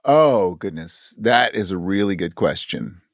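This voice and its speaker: A man being interviewed about his writing, talking in a thoughtful, halting way and voicing his own thoughts as quotes. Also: low-pitched, animated, no room sound, studio quality. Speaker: interview guest, audio drama writer.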